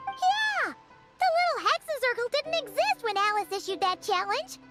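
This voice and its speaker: Squeaky lil anime game fairy, eager and childlike, super high n bouncy, music playing. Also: female voice, very animated, normal speed. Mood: excited. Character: tiny squeaky fairy companion, childlike, eager, speaks in third person.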